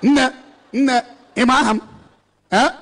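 A man's voice: very high voice, steady tone, moderate pace, a bit bright. A Nigerian man in his thirties, an evangelist exhorting an outdoor crowd and switching between Igbo and English into a handheld mic. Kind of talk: preaching at an outdoor church rally. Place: outdoor stage before a large crowd. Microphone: handheld microphone.

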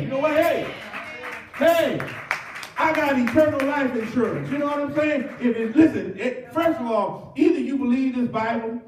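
A middle-aged man preaching a sermon as pastor, animated and full of call-and-response. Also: extremely high pitch, a little hiss or hum. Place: church sanctuary with cross wall. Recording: roomy church from far off.

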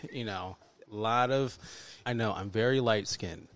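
A man in his thirties, a guest comedian on a podcast, chatting in a laid-back, jokey way with low asides. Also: takes it slowly. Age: 30s. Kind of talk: casual comedy podcast chat.

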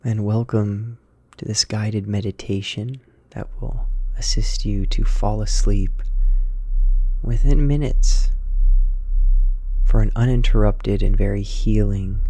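A man guiding a sleep meditation, speaking slowly and softly and sometimes dropping to a whisper. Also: on the low side, slightly rough. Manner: slow, soft and soothing, drifting into whispers. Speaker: meditation guide. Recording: dull lo-fi voice over singing bowl music.

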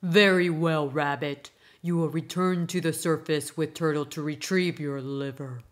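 A woman voicing an ailing, imperious male sea Dragon King in a grand, slow, commanding manner. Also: sits low, fairly even intonation, pitched below the performer's own voice. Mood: solemn, regal.

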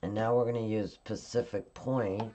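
Low-voiced woman teaching a craft. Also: normal speed, lo-fi sound, a little hiss or hum. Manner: calm, instructive, narrating her hands-on work. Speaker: card-making instructor. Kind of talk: step-by-step craft tutorial. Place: home craft table.

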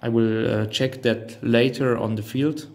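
Man, German native speaker, slow pace, mid pitch, thinking aloud, hands-on product demo. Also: fairly even intonation, a little mellow. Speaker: reviewer demonstrating a radio transceiver. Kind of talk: unscripted hands-on product walkthrough.

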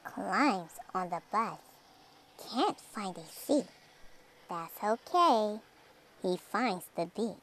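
A woman reading a rhyming children's picture book aloud in a dramatic, theatrical way, drawing out words and dropping into hushed phrases. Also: medium pitch. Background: music.

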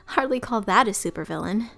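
A woman's voice: mid-range voice, creaky, pushed and bright, heavily acted. A woman voicing a mocking, vengeful young villainess gone rogue in a fan cartoon comic dub. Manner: taunting, sneering.

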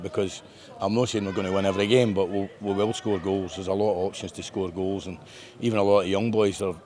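A Scottish man in his fifties, a football manager speaking upbeat and rambling after a win. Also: on the low side. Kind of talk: post-match interview. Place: bare indoor room with painted brick walls.

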